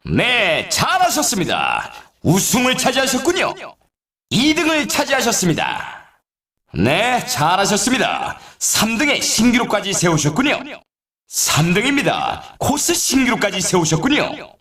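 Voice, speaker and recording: An actor playing an excitable male snowboard race announcer in a video game, with formal, polite phrasing and showy flair. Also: sits high, unhurried, echoey room, full character voice. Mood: celebratory.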